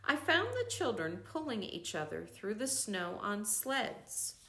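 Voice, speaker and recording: Slow, measured older woman reading aloud. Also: mid-range voice, big pitch swings, a little hiss or hum. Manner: gentle, measured, pauses between phrases. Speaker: reader of a devotional book. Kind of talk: slow reflective book reading.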